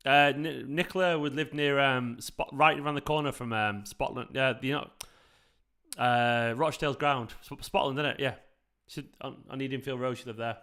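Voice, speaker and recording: A man's voice: mid-range voice, moderate pace, pushed and bright. A young man with a South Manchester accent, chatting casually to viewers during a live football watchalong. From South Manchester, England. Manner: chatty, rambling, talks to viewers. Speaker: fan channel host.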